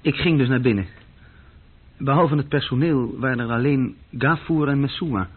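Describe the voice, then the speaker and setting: A man's voice: mid-range voice, moderate pace, slightly rough, lightly acted. An actor playing a restless, self-reproaching young office clerk who tells his story hesitantly and conversationally in a radio play. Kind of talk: radio play dialogue and recollection. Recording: thin, phone-like old radio recording.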